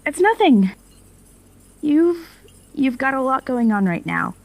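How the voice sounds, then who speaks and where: An earnest female informant played by an actress with a slightly high voice. She speaks tentatively and loyally, as in a natural conversation within an audio drama. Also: sing-song, slow, gravelly, full character voice. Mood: earnest, concerned.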